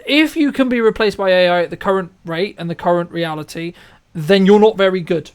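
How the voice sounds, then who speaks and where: A man's voice: sits high, a little fast, clean tone. A man hosting an interview, speaking his opinions emphatically and repeating phrases to drive points home. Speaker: podcast host and filmmaker.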